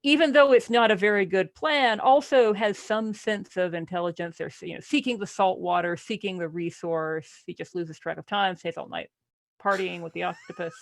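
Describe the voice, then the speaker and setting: A woman's voice: mid-range voice, moderate pace, creaky, no echo. A woman on a book club podcast, joking casually with a sarcastic, exasperated edge as she discusses a story.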